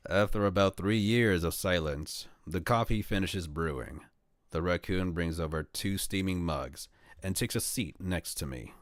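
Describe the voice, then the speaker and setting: A young man with a very low, deep voice reads a visual novel aloud in the first person. His delivery is calm and even, close to a home desk mic with a dry, dead sound. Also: unhurried, no echo. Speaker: VTuber streamer reading a visual novel.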